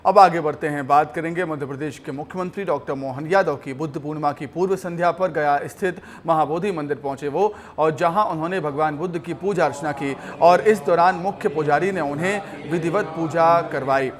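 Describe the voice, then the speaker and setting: A man's voice: on the high side, fairly quick, few pauses. A man reading television news as an anchor. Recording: music bed under the voice. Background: news music.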